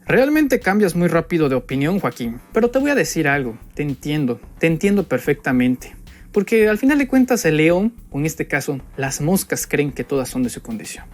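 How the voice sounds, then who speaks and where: A man's voice: on the high side, very animated, moderate pace. A young man with a Mexican accent giving a pointed, sarcastic political monologue full of rhetorical jabs at an opponent. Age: young adult. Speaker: political commentator. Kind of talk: spoken opinion monologue addressed to an opponent. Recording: background music under the voice.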